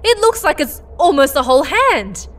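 An actress playing an anxious, observant space crew member with an excitable, insistent manner. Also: female voice, sits high, moderate pace, a little hiss or hum. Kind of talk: audio drama acting.

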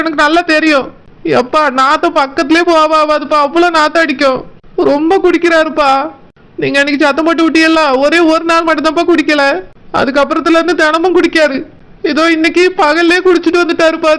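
A woman's voice: very high voice, a bit bright. A woman voicing a fed-up young married village daughter in an amateur cartoon, plaintive and insistent, with a Kanyakumari Tamil accent.

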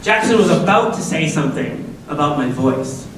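A woman in her forties with a deep voice, an upbeat and chatty speaker who keeps asking the students questions. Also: lively intonation, moderate pace, a little hiss or hum. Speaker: guest speaker at a school assembly. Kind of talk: lively talk to students. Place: large school auditorium. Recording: echoey auditorium from far off.

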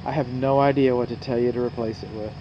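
A man's voice: medium pitch, steady tone, fast. A male home inspector filming a walkthrough outdoors beside a house, explaining what he sees in a folksy, digressive way. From North Texas. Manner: folksy, digressive, talks to the viewer. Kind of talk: walkthrough commentary while filming. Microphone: handheld camera mic. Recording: muffled outdoor camera audio with hum.

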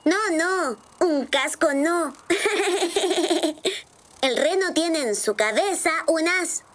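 Woman as a little cartoon boy, high voice, cheerful, helpful, instructive, bouncy intonation, music underneath. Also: lively intonation, drawn-out words, a little hiss or hum, full character voice. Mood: explaining. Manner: patient, instructive, enthusiastic. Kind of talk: dubbed children's cartoon acting.

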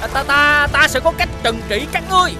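A man voicing a greedy, boastful, middle-aged village traitor who blusters and stammers in an animated fairy tale. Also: extremely high pitch, fairly quick. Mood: menacing. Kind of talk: exaggerated animated villain acting. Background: music.

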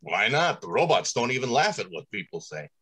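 A man plays a genial, grandiose factory director in an old-time radio play. His husky voice swings widely as he declaims his ideals. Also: mid-range voice, big pitch swings, brisk, a bit bright. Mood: amused.

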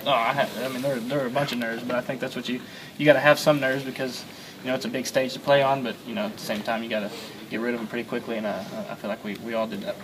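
Halting young quarterback in a media scrum. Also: male voice, mid-range voice, steady tone, noisy background. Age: young adult. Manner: halting, many fillers and repeated words. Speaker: college quarterback. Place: crowded locker-room interview area, indoors. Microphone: several handheld mics and phones held close. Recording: busy crowd chatter behind.

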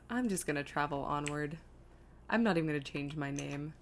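Low-voiced woman streamer, noisy mic. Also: expressive, normal speed. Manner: self-deprecating, exasperated muttering to herself. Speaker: video game streamer. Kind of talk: casual live gameplay commentary, thinking aloud.

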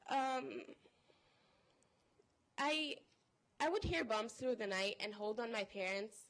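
A woman's voice: fairly high pitch, lively intonation, takes it slowly, a bit bright. A teenage girl from Palestine giving a prepared, earnest personal speech at a podium microphone in a college conference room. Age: teens. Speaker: panel speaker.

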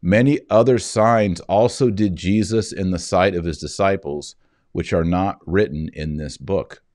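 Male reader, 40s, low-pitched and unhurried, solemn scripture delivery, dry close-miked sound. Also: a little mellow, no room sound. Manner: measured, deliberate phrasing. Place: indoor room, seated at a desk.

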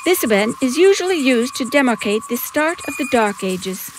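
A woman's voice: high voice, big pitch swings, moderate pace, background noise. A woman reading scripted comic narration with theatrical, wry emphasis. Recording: music behind the voice.

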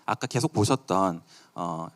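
A man's voice: sits low, animated, a little slow. A man giving a live product demo at a company event in a conversational way. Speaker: presenter at a company tech event.

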